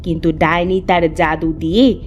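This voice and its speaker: A woman's voice: fairly low pitch, steady tone, normal speed, a little hiss or hum. A woman narrating a scripted cartoon folk tale as storyteller.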